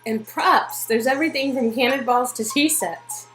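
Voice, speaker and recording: A playful young woman acted by a woman in a dating story game. Her voice sits a little high and she speaks as an adventurous romantic partner, with music underneath. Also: moderate pace, fairly bright tone. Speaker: voice actor reading a visual novel love interest. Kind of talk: performed game dialogue.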